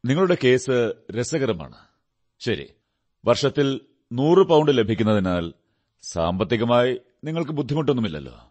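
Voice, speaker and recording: A man playing a shrewd, self-assured detective in a radio drama, speaking in a deliberate, courteous, analytical way. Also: mid-range voice, sing-song, a little mellow. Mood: probing, businesslike. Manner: deliberate, deductive, theatrical delivery. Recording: radio drama with music behind. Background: music.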